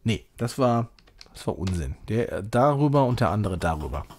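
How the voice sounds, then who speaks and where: A man's voice: fairly low pitch, big pitch swings, moderate pace, darkish tone, slight background noise. A man doing casual, jokey live-stream commentary as he plays a game, chatty and self-ironic. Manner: jokey, chatty, self-ironic, slipping into mock-grand English.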